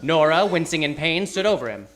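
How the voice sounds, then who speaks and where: A man's voice: a little high, brisk, a crowd in the background, natural voice. A man playing a wry, hardboiled library detective, narrating and acting in a live radio noir spoof. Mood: wry, reflective. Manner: wry, deadpan hardboiled storytelling. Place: theater stage.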